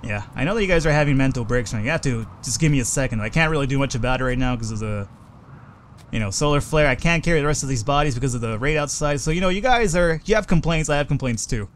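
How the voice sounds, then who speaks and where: A man casually commenting on his strategy game as he plays, talking to his units and swearing now and then, his voice a little hoarse. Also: medium pitch, expressive, fast, slight background noise. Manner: chatty, swears when frustrated. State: slightly hoarse. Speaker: let's play host.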